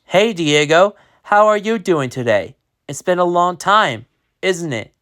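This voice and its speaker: Brief: reader playing kind best-friend boy, raised pitch, unhurried. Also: sing-song, fairly bright tone. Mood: friendly.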